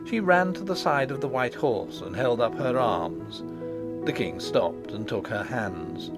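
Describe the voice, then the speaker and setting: A male audiobook narrator reads a fantasy tale with lively, swooping intonation. His pitch sits in an ordinary middle range for a man. Loud music plays along behind his voice. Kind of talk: expressive scripted storybook narration. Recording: voice over prominent background music.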